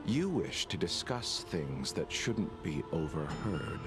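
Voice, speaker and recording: An actor playing a composed, perceptive young man in a game, speaking slowly and deliberately. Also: a bass voice, big pitch swings, heavily acted. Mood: knowing. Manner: slow, deliberate, knowing. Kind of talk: game voice acting. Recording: loud game music behind.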